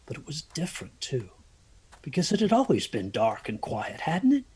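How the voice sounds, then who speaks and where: A man's voice: fairly high pitch, huge pitch swings, moderate pace, a little mellow, slightly rough, exaggerated voice. A man acting an anxious, haunted narrator of a dramatic first-person ghost story, hesitant and brooding in his delivery. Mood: hushed, eerie. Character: anxious haunted man recalling a stormy night.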